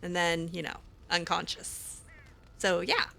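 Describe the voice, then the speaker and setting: A woman's voice: on the low side, fairly even intonation, a little slow, vocal fry, pushed and bright. A woman playing through a fantasy game in character, chatting casually and wryly, hesitant, with lots of fillers. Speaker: roleplay streamer. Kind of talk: casual in-character roleplay chat.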